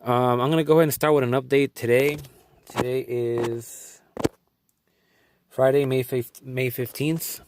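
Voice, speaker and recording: A man's voice: medium pitch, fairly even intonation, unhurried, long pauses, background noise. A young man from Guatemala giving an earnest, rambling video update, often restarting his sentences. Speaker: vlogger.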